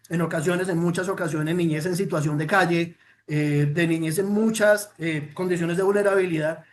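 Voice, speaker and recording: A man with a Colombian accent giving a formal, earnest presentation on a report, with frequent "eh" fillers and restarts. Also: high voice. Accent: Colombian Spanish. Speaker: presenter of a child protection report.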